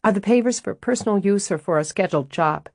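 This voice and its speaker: A woman voicing a brisk, buttoned-up office manager who talks in crisp, dry, clipped lines. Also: mid-range voice, expressive, no echo.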